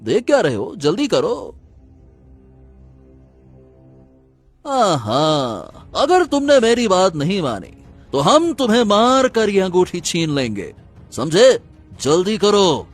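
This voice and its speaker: A man as a high-pitched cunning sorcerer. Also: big pitch swings, unhurried, faint music behind, full character voice. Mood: threatening. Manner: theatrical, commanding. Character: cunning, menacing male sorcerer with magic powers. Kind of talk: dramatic Hindi cartoon story acting.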